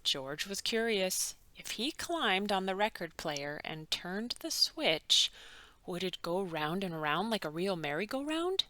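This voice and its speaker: Animated woman reading a children's storybook. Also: mid-range voice. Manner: animated, dramatic emphasis with drawn-out words. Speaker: children's book read-aloud narrator. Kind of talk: expressive scripted bedtime story narration.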